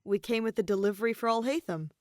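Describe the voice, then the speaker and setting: A woman's voice: fairly high pitch, fast talker, a little mellow, no room sound, clean studio sound, pitched above the performer's own voice, natural voice. A woman voicing a cheerful, eager young male adventurer in a story reading.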